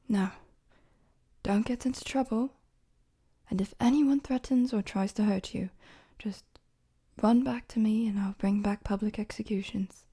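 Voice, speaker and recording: Breathy, soft-spoken gentle queen, acted by a woman. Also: medium pitch. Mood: earnest, conspiratorial. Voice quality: soft, breathy, often near-whispered. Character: young queen, gentle, playful, secretly fond of servant. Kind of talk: intimate audio roleplay addressed to the listener.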